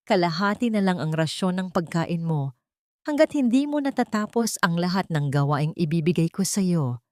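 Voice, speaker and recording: A woman's voice: on the low side, darkish tone, dry recording, studio quality. A woman voicing a haughty, scornful older rich matriarch in an audio drama, scolding with contempt. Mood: cold contempt.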